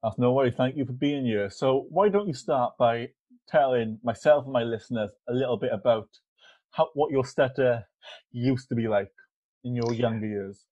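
A man hosting a podcast interview, asking calm follow-up questions. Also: medium pitch, normal speed, no room sound, dull audio. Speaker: podcast host.

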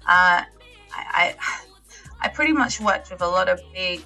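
A woman's voice: lowish voice, expressive, unhurried, sharp tone, faint music behind, low-quality recording. A young woman, a singer chatting casually as an interview guest, with lots of fillers and laughs. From England. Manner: chatty, laughs often, many fillers and restarts.

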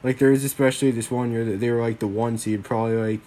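A man's voice: medium pitch, steady tone, fast, mellow. A young man hosting a solo sports podcast, rambling casually with lots of fillers, a little worn from a lingering cold. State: getting over a cold.

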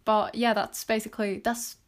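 A woman with a British accent hosting a casual solo podcast, chatting in a rambling way with many fillers and soft laughs. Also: fairly high pitch, flat delivery, moderate pace. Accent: British English. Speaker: podcast host.

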